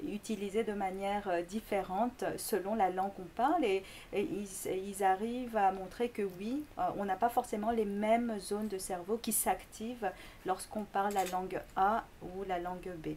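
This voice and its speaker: A woman with Korean as her first language, raised in Greece, answers interview questions as a linguistics lecturer. She explains in a patient, halting way, full of fillers and restarts, at a middle pitch over faint background noise. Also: fairly even intonation, darkish tone. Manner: hesitant, many fillers and restarts.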